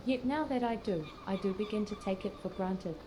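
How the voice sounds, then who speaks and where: A woman's voice: medium pitch, background noise, lightly acted. An actress playing an earnest, scholarly female sage in a fantasy game, pensive and formally spoken. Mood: solemn reflection. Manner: measured, pensive, archaic phrasing. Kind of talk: scripted fantasy game dialogue.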